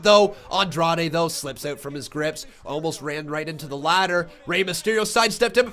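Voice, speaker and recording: Stuffy-nosed male stream host, casual chat mixed with excited ringside calls. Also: sits high. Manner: chatty, thinks aloud, bursts into excited play-by-play. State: congested from a cold. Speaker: live stream reaction host. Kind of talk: casual live reaction chat and commentary.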